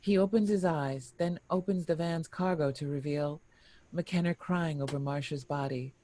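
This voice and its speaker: A narrator reading screenplay action and scene headings in a deliberate, expressive storytelling manner. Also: medium pitch, a little hiss or hum. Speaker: narrator reading stage directions.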